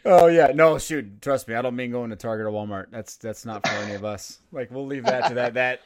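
Male host, casual chat, talks in fast bursts with restarts, mid pitch. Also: a crowd in the background. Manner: chatty, quick bursts, many restarts. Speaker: podcast host.

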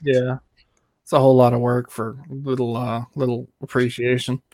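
A man's voice: mid-range voice, moderate pace, no room sound. Host man, easygoing questioner, dry close sound. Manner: relaxed, friendly, asks questions loosely with fillers. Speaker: podcast host. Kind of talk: casual interview hosting.

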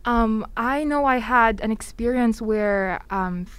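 A woman's voice: on the high side, slow, clear, resonant tone, no room sound. A woman co-hosting a faith podcast, speaking earnestly and guiding the conversation. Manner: earnest, affirming, steers the talk. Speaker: podcast co-host. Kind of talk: casual faith podcast chat.

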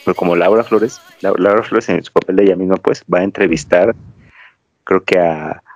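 A man's voice: low voice, normal speed, no room sound. A Mexican man hosting a podcast, chatting casually and digressing as he tells stories. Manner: rambling, storytelling, digressive. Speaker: podcast host. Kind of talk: casual chat. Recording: dry, slightly dull lo-fi audio.

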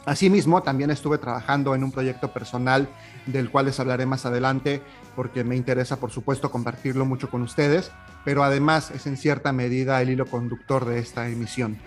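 A man's voice: mid-range voice, fairly even intonation, a little fast, faint music behind. A young man from Mexico with a Mexican Spanish accent, hosting a solo podcast in a chatty way, with a lot of "eh, pues". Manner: chatty, warm-hearted, with filler "eh, pues". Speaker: podcast host.

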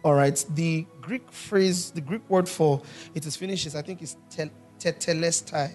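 A male pastor with a slightly raised pitch teaches through a sermon, stopping often to ask his congregation questions. Soft music sits faintly behind his voice. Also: moderate pace. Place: church hall with congregation.

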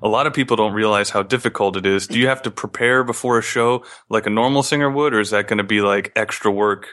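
A man's voice: lowish voice, fast talker, a bit bright. A man in his early thirties co-hosting a podcast and asking questions in casual chat. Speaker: podcast co-host.

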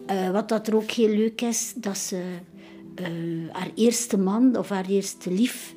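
Woman, Flemish accent, art collector, enthusiastic, rambling, mellow tone, faint music. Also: mid-range voice, steady tone, slow pace. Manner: enthusiastic, warm-hearted rambling with fillers. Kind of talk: casual interview chat.

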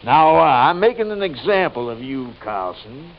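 A man playing a brutal, gloating outlaw boss in an old radio western, with a gravelly, folksy frontier drawl and commanding menace. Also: fairly high pitch, big pitch swings, normal speed. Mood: menacing, gloating. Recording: muffled, noisy old radio recording.

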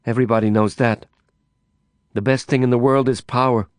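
A man voicing a cool, enigmatic Swiss woman in an audiobook, speaking in a calm, measured, teasing way. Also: mid-range voice, dry recording, studio quality, natural voice.

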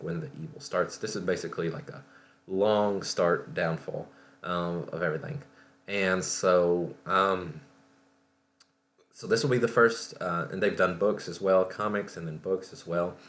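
A man hosting a podcast, talking casually about gaming news in a halting, rambling way, as if thinking aloud. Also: low-pitched, fairly bright tone. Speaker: podcast host. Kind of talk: casual solo show talk about video game news.

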